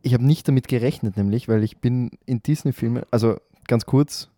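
A man's voice: mid-range voice, fairly quick, mellow, no room sound, studio quality. A man co-hosting a podcast, chatty and recapping stories with fillers. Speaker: podcast co-host. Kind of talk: casual chat.

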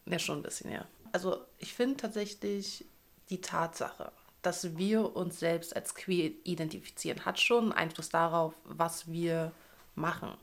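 A woman's voice: fairly low pitch, fairly even intonation, moderate pace. Playful woman podcast host in her thirties. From Berlin, Germany. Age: 30s. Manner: playful, teasing, laughs often. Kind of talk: casual chat.

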